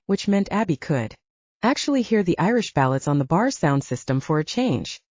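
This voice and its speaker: A woman narrates an audiobook with animated intonation and a mellow, slightly husky voice. She reads scripted fiction close to the mic, and the recording is a little dull. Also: on the low side, no echo.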